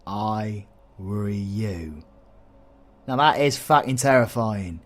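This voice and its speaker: Man playing a slow-talking cocky British supervillain. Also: fairly low pitch, animated, a little hiss or hum. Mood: cocky, sardonic. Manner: irreverent, sweary, colloquial. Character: cocky, foul-mouthed male dark-magic supervillain, protective. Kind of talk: audio roleplay acting.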